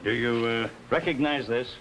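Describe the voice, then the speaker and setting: A man acting as an older, deliberate police investigator in a 1950s television mystery. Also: medium pitch, fairly bright tone, close to an everyday voice. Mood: measured, official. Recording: noisy old 1950s television soundtrack.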